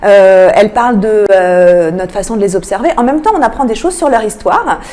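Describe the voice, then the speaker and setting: Mellow-voiced woman, interview guest. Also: mid-range voice, brisk. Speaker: guest, arts programming manager. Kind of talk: radio interview, presenting a cultural programme. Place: radio interview.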